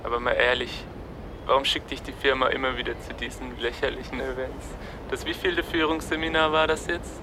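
An actor playing a pushy colleague who teases his friend, with a clear noisy street rumble behind him. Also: level tone, fairly quick, lightly acted. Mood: joking, mocking. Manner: mocking, pushy. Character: teasing male colleague on the phone.

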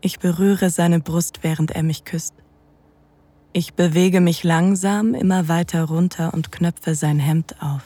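A woman playing a seductive, dominant lover, narrating intimately in the first person with a breathy, teasing delivery that often nears a whisper. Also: fairly low pitch, steady tone, warm tone. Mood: sensual, slow. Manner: slow, sensual, teasing. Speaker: narrator and lead in an erotic audio drama.

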